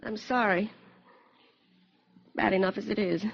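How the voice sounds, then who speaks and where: An actress playing an anxious, defensive young wife in a radio drama, sounding strained and brittle. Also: female voice, mid-range voice, steady tone, measured pace, creaky, slightly rough. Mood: distressed. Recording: dull band-limited radio drama recording.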